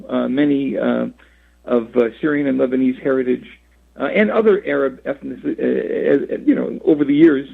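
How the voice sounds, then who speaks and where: A man in his sixties from Rhode Island, a radio interview guest who talks in a halting way with many fillers and restarts, heard over a phone line. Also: medium pitch, creaky. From Rhode Island, USA. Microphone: phone call.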